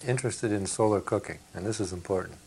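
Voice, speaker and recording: A man's voice: fairly low pitch, fast talker, gravelly, mellow, slight background noise. An older man on a studio panel who speaks thoughtfully and measuredly, with small hesitations. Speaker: panel guest. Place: TV studio set, indoors. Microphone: lapel mic.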